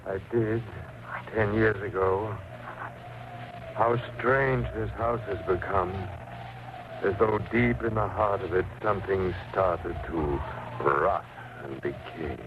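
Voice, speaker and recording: Haunted mysterious male visitor, lowish voice, theatrical and grave, vintage radio horror, faint noise. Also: slow pace. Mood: ominous, questioning. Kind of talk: old-time radio horror drama acting. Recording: muffled old radio recording, faint noise.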